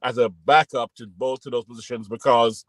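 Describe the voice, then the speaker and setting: A man's voice: medium pitch, steady tone, normal speed, fairly bright tone, no echo. A man co-hosting a podcast, rambling and joking through a casual sports debate over a call and talking over others. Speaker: podcast co-host.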